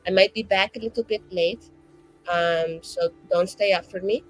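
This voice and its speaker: A woman's voice: medium pitch, fairly even intonation, moderate pace, faint music behind, lightly acted, rough recording. A woman voicing a flamboyant, chatty young female mage, rambling and full of fillers. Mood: fussing.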